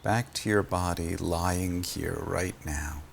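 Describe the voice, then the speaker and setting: A man with a fairly low, gravelly voice guides a body scan meditation. He speaks very slowly and soothingly, leaving long pauses between gentle phrases. A faint noise sits behind him.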